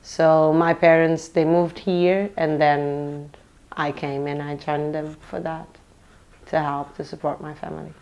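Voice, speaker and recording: A young woman from Portugal, a nurse, talking earnestly in a documentary interview, pausing often to find her words. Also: low voice, flat delivery, a little mellow, muffled recording. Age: young adult. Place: London.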